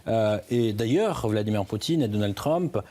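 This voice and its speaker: A man's voice: on the low side, expressive, mellow. A man giving live news analysis as a correspondent, hesitant, often restarting his words. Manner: halting, hesitant delivery with repeated word starts.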